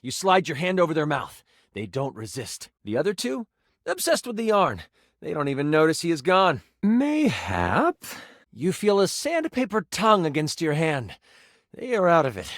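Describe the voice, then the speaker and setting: A man's voice: on the high side, huge pitch swings, normal speed, no echo, studio quality. A man playing a playful game master, narrating a fantasy tabletop adventure in a theatrical, dramatic storytelling style. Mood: suspenseful. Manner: theatrical, second-person storytelling.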